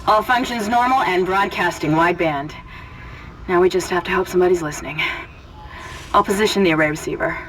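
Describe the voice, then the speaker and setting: A woman's voice: lowish voice, a little fast, fairly bright tone, lightly acted. A woman playing a focused, businesslike ship technician in a video game, speaking over a noisy comms channel. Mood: weary, hopeful. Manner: businesslike, urgent, natural. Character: focused female technician guiding a crewmate by comms. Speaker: game character, crew technician. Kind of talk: video game dramatic acting.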